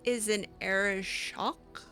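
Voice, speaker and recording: A woman's voice: mid-range voice, fairly even intonation, slow, sharp tone, faint music behind. A woman improvising in character over a fantasy game, speaking casually with a hesitant, sassy manner. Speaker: game streamer roleplaying her character.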